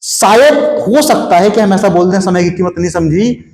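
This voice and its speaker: A man's voice: high-pitched, expressive, normal speed, a little mellow, reverberant. A young man with a sore throat, teaching a physics class in a hot studio, explaining step by step and asking students questions that he answers himself. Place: studio with a board, hot, no air conditioning.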